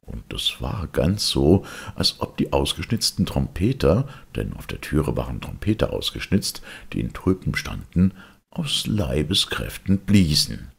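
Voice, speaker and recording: A man narrates a fairy tale in an extremely deep voice. It is very husky and full of creak. His delivery is theatrical and expressive, made for storytelling. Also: unhurried. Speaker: audiobook reader.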